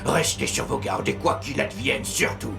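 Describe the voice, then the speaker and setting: A man's voice: mid-range voice, moderate pace, gravelly, over music. A man playing a grave, learned inventor chief in a children's cartoon, speaking in a solemn, formal, urgent way. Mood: grave warning. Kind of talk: animated children's cartoon acting.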